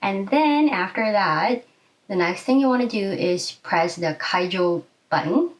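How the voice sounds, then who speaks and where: A woman's voice: mid-range voice, lively intonation, unhurried, distant mic, low-quality recording. A young adult woman giving a casual step-by-step explanation to camera in a small indoor room. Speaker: video host and presenter. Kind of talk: informal instructional explainer to camera.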